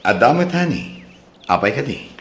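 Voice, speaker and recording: A man playing a gentle young husband and dutiful son, speaking mildly and earnestly in an Ilocano audio drama. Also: mid-range voice, very animated, normal speed, slight background noise. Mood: puzzled, concerned.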